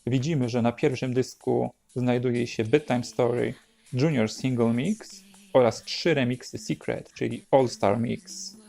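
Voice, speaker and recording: A man narrating an unhurried show-and-tell as host, in a measured, explanatory way. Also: mid-range voice, fairly even intonation, vocal fry, warm tone, faint music behind. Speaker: host presenting a record collection.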